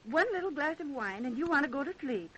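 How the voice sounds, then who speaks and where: An actress playing a sweet, teasing young American bride-to-be in an old-time radio drama. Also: female voice, high-pitched, expressive, fast, a bit bright, a little hiss or hum, poor audio. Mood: playful, teasing.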